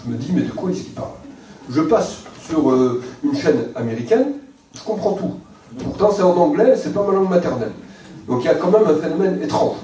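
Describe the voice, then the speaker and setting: A man giving a measured lecture to an audience, often pausing on hesitant fillers. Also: a little high, lively intonation, warm tone, slight background noise. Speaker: guest speaker. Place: lecture hall. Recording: very echoey hall, mic far off.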